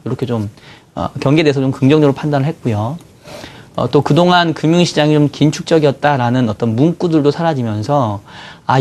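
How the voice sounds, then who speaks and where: A man with a cold-roughened voice, a bond strategy guest in a TV studio, explaining market moves in a measured way with many fillers. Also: medium pitch, darkish tone. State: hoarse from a cold. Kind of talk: financial market analysis.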